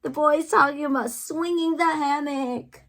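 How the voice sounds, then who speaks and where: A woman reading children's poetry aloud with theatrical, deliberate phrasing and playful emphasis, adding asides as the reader. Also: high voice, fairly bright tone. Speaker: read-aloud host, teacher-like reader.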